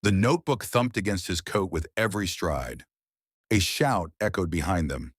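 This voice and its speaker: A man reading a psychological thriller audiobook with tense, dramatic pauses. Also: low-pitched, animated, husky, no room sound, studio quality. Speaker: audiobook narrator. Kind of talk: scripted thriller narration.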